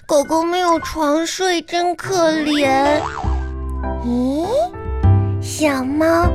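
A woman's voice: high-pitched, fairly even intonation, extremely slow. A woman playing a cheeky, innocent little schoolgirl in a comedic radio drama, with childish, naive questioning. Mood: cooing, pitying. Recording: music playing behind.

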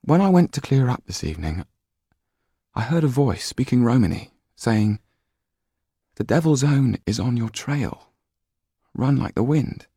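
An anxious boy from a storybook, acted by a man, raised in pitch. He sounds wary and earnest, with an urgent edge to his words. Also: expressive, a little slow, pauses often, mellow, breathy edge, no room sound, clean studio sound. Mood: hushed, urgent.

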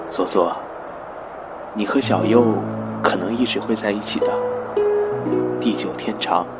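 Protective young male shoe, gentle and subdued, man acting in audio fairy tale; lowish voice, music behind. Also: steady tone, unhurried, natural voice. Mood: gentle, resigned. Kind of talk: fairy-tale radio drama dialogue.

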